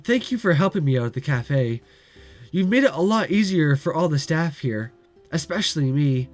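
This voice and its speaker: A man's voice: fairly high pitch, lively intonation, normal speed, soft background music, lightly acted. A man voicing a cheerful, tough young woman who works at a café, reading a visual novel aloud. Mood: chirpy.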